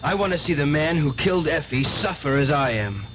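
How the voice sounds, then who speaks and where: A vengeful young man acted by a man in an old radio play, intense and defiant. His voice sits a little high. The sound is dull, with a faint hum behind it. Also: animated, brisk, natural voice. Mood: bitter, vengeful. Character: bitter, grieving young man bent on revenge. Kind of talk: 1970s radio drama acting.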